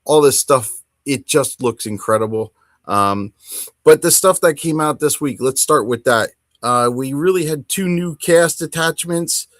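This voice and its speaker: A middle-aged man hosting a casual hobby podcast, talking enthusiastically in a rambling, halting way. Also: on the high side, no room sound, clean studio sound. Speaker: podcast host.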